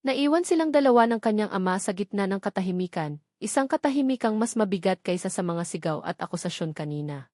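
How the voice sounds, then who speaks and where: A woman reading a dramatic love story as narrator, in a solemn, sentimental and deliberate way. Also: medium pitch, animated, a little mellow, no room sound, clean studio sound.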